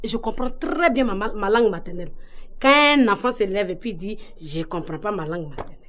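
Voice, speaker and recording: A woman's voice: medium pitch, fairly quick, a bit bright, slight background noise, close to an everyday voice. A woman playing a mother who scolds and mocks someone's poor French. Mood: scolding. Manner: mocking, scolding. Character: scolding mother proud of her mother tongue.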